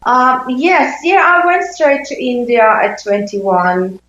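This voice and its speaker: A woman in her fifties from Sweden, a native Swedish speaker, giving reflective interview answers with thoughtful pauses in a conversational style. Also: high-pitched, very animated, fairly bright tone, reverberant, highs cut off. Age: 50s. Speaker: interview guest, intimacy coach.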